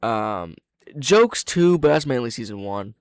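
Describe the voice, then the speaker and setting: A man's voice: medium pitch, animated, measured pace, smooth, no room sound, clean studio sound. A man giving casual, off-the-cuff reaction commentary, often interrupting himself and swearing freely. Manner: hesitant, self-interrupting, frequent swearing. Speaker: reaction host.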